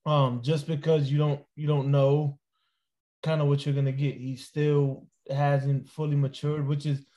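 A man with a fairly high voice talks as a guest on a sports podcast. He weighs his views in a measured way and thinks aloud with fillers. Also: level tone, mellow. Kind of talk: casual sports analysis chat.

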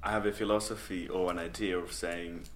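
A young man from Malawi hosting a podcast, chatting in a relaxed way and often agreeing with his guest. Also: low voice, fairly quick, slight background noise. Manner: relaxed, chatty, with frequent affirmations. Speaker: podcast host. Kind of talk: casual interview conversation.

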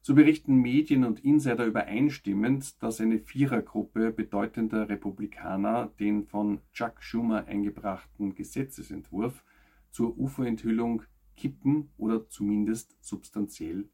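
Male news presenter, husky and creaky, scripted delivery with emphatic phrasing. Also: on the low side, mellow.

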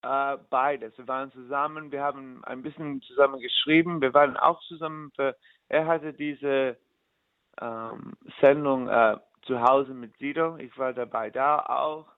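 American man, musician guest, halting German, gravelly voice, dry tinny sound. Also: medium pitch, fairly even intonation, dry recording. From United States. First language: English. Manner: halting German, switching into English. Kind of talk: casual interview in learner German. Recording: dry, phone-like line.

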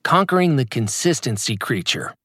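A man narrates his own motivational fitness audiobook, hitting key words hard with an emphatic, punchy delivery. His pitch sits mid-range but moves a lot. The recording is dry and close, with no room sound. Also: very animated, normal speed, a bit bright, no echo. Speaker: author reading his audiobook.

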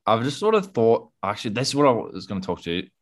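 A man's voice: medium pitch, very animated, fast talker. A young Australian man co-hosting a podcast, musing aloud in casual, slangy chat. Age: young adult. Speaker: podcast co-host.

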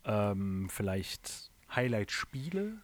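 A man's voice: lowish voice, sing-song, takes it slowly. A man hosting a casual podcast chat among friends, leading the talk with many hesitations and fillers. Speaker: podcast host.

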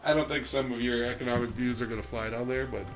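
A man's voice: medium pitch, steady tone, quick pace, slight background noise. A man guesting on a casual podcast, joking in a sarcastic, profane way.

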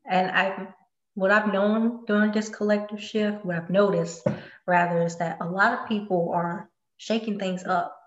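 A woman hosting a podcast, talking in a reflective, soft-spoken way with many fillers. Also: mid-range voice, fairly even intonation, measured pace. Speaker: podcast host. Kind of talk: casual podcast chat. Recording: roomy, dull lo-fi sound.

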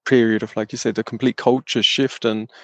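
A man's voice: mid-range voice, flat delivery, brisk, no room sound. A man interviewing casually for a podcast, loosely rephrasing his questions as he goes.

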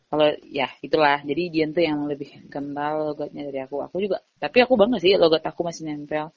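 A woman's voice: deep voice, fast talker, lo-fi sound. A young woman, a podcast guest, chatting casually, telling stories animatedly and giggling often. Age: young adult. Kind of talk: casual chat.

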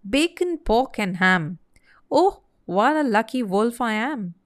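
A greedy storybook wolf acted out by a woman reader, menacing and pleased with himself. The rhymes come slowly and deliberately, in a close, dry recording. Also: a little high, very animated, no room sound. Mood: gloating. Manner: gloating, menacing, declaiming in rhyme. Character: greedy, boastful male wolf hunting pigs.

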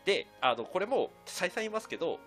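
Animated, fast, high-pitched man explaining emphatically. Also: soft background music. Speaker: podcast host. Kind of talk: casual discussion, explaining and advising.

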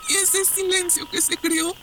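High-voiced, haunted woman played by an actress. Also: level tone, over music. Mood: grieving, numb. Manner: halting, emotional, dramatic. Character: haunted female war survivor, grieving mother. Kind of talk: Spanish-language radio drama monologue.

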